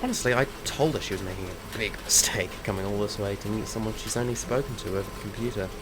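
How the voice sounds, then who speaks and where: A man's voice: fairly low pitch, quick pace, noisy background, lightly acted. A man acting a cocky, flippant monster hunter in an audio drama, breezy and self-justifying as he talks. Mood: teasing, smug. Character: cocky, flippant male monster hunter, chain-smoking runaway groom.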